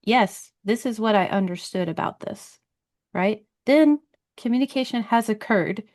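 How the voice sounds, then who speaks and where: A woman hosting a podcast talks in a loose, chatty way. She builds her points step by step and keeps asking "right?" as she goes. Her voice sits at a middle pitch. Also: measured pace, a little mellow. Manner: chatty and explanatory, with many "like" and "right?". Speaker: podcast host. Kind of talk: conversational interview.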